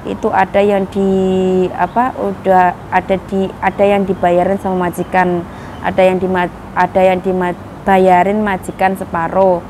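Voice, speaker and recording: A woman from Indonesia, hoarse from a cough, chatting casually to her viewers in a vlog, full of fillers. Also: mid-range voice, noisy background. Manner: chatty, many fillers, addresses viewers as guys. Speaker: vlogger giving news to migrant workers. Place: outdoors in Taiwan.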